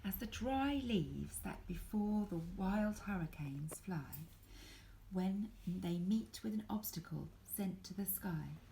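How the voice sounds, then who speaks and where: An older woman reading aloud in an expressive storybook style, speaking directly to her listeners. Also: medium pitch, a little hiss or hum, poor audio. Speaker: grandmother reading a Christmas story to viewers. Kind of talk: storybook read-aloud, poetry recitation. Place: indoors at home, beside a Christmas tree.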